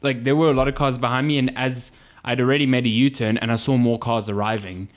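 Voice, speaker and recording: Young SA man, mid-pitched voice, lively anecdotal podcast co-host. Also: fast. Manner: animated storyteller, acts out quotes. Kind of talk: casual chat.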